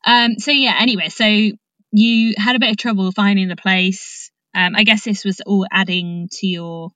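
A woman hosting an interview in casual chat, informal and expressive as she reacts and shares her own anecdotes. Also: medium pitch, moderate pace, no echo, poor audio. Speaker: podcast host, interviewer.

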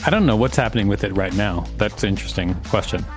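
A man's voice: on the low side, expressive, fast talker, music in the background. A man co-hosting a podcast, reading an encyclopedia article aloud with casual asides. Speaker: podcast co-host and reader.

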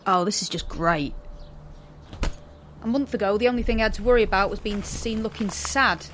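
An actress playing a sarcastic, anxious young rebel in a dystopian audio drama, expressive and easily exasperated. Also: female voice, medium pitch, moderate pace, a little hiss or hum, lightly acted. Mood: exasperated, alarmed. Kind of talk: audio drama acting with first-person narration.

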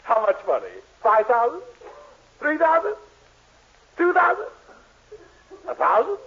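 A greedy, wheedling drugstore owner in a 1940s radio sitcom. His high voice swoops wildly and theatrically, full of bluster. It comes through a dull old broadcast recording. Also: huge pitch swings, measured pace, gravelly, exaggerated voice. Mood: greedy, excited. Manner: exaggerated, gushing then curt.

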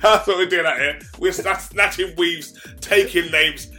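Bloke cohosting a podcast, bright punchy voice on the high side, boisterous banter. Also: male voice, a little slow, faint music behind. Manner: boisterous, playful, slangy. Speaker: podcast co-host. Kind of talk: casual banter.

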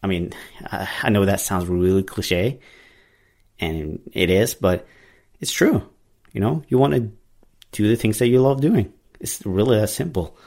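A man's voice: lowish voice, measured pace, creaky, long pauses, a little mellow. A man hosting a podcast in English, which is not his first language, talking casually in a rambling way with many fillers and restarts. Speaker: podcast host. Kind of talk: casual solo monologue.

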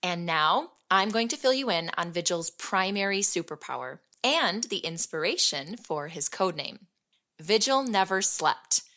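A woman's voice: fairly low pitch, animated, unhurried, bright, forceful tone, heavily acted. A woman acting as an investigative podcast host, narrating a superhero audio drama in a conversational, explanatory way. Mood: revealing, measured. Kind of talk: scripted documentary-style narration.

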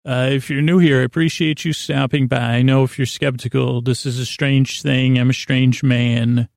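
A man with a creaky voice hosting a sleep podcast, talking in a meandering, halting monologue that circles back and repeats itself to lull listeners to sleep. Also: mid-range voice, level tone, darkish tone, no room sound. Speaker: host of a bedtime-story sleep podcast.

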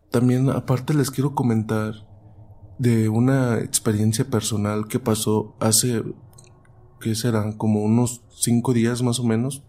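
A man's voice: on the low side, warm tone. A man hosting and narrating a show of listeners' stories, reading them aloud in a storytelling style.

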